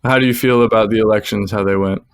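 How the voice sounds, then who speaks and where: A man from the United States hosts a history podcast, asking his guest curious, friendly questions and laughing often. His voice sits a bit low. The call audio is dry and close. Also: fairly even intonation, fast, clear, resonant tone, no room sound. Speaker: podcast host. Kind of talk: interviewing a guest.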